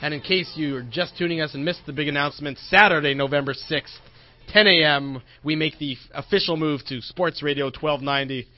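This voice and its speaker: A man's voice: fairly high pitch, brisk, no room sound. A man hosting a sports radio show, casually chatting and plugging upcoming broadcasts in an upbeat announcer manner. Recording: dull lo-fi broadcast with faint music.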